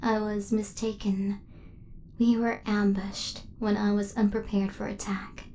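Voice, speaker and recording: A woman playing a devoted, protective female hunter robot in a soft-spoken ASMR roleplay, with formal, precise, machine-like phrasing. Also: medium pitch, monotone, a little slow, a little hiss or hum, close to an everyday voice. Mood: soft, grave.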